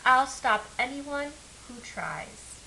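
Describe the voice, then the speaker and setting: A woman reads as a plucky, determined storybook heroine. The voice is bright and a bit high, assertive and eager, over a light hiss. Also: animated, slow, exaggerated voice, low-quality recording. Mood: defiant. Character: eager, determined girl growing into bold woman. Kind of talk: storybook character voice. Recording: clear background hiss.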